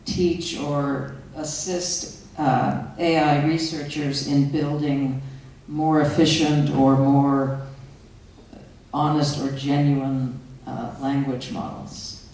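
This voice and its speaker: A moderator asking slow, measured questions at a panel, recorded from far off in an echoey hall. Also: mid-range voice, flat delivery, darkish tone. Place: large conference hall.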